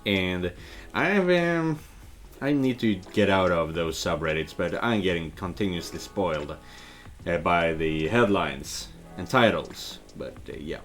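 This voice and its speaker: A low-voiced man plays a game and comments casually, rambling as he thinks aloud. He reads on-screen text in a loose, hesitant way, with music playing behind him. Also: lively intonation, measured pace. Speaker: video game let's player and dubber.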